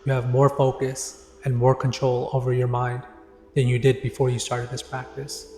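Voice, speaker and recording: Even-toned man guiding meditation, reverberant. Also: medium pitch. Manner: calm, deliberate, instructive delivery with pauses. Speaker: meditation guide. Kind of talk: guided meditation narration. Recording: echoey long reverb, music behind. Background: background music.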